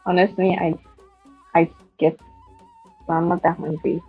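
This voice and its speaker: A woman with a lowish, breathy voice talks hesitantly as a podcast guest, padding her sentences with fillers. Her mic sounds dull and muffled, with faint music underneath. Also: flat delivery, a little slow. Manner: hesitant, heavy use of "like". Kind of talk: casual chat.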